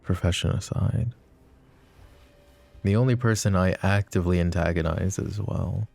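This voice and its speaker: A very low, gravelly voice for a brooding mafia boss, acted by a man. He is possessive and sardonic yet protective, speaking intimately and darkly as in an ASMR audio roleplay, with faint music underneath. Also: normal speed, darkish tone. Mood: wry, caring. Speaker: voice actor. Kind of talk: boyfriend ASMR roleplay acting.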